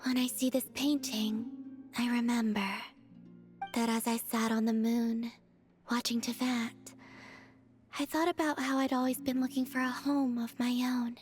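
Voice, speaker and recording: A woman voicing a serene, gentle young moon goddess in a video game, speaking softly in a calm, wistful, formal way. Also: a little high, measured pace, soft background music, close to an everyday voice. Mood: wistful, tender.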